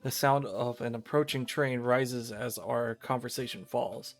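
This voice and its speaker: A man's voice: medium pitch, fairly even intonation, unhurried, faint music behind. Man streaming a visual novel read-aloud. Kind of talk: reading visual novel narration and dialogue aloud on a livestream.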